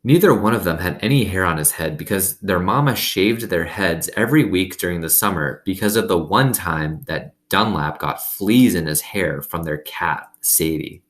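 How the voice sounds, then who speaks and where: A man's voice: low voice, lively intonation, normal speed, hardly any pauses. A man reading a children's story aloud expressively, with casual asides to his listeners. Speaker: reader reading a children's novel aloud to students.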